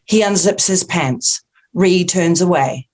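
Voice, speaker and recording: A woman reads scene descriptions from a screenplay. Her pitch is slightly on the low side. It is scripted narration for a table read. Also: takes it slowly, darkish tone. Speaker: stage-direction narrator.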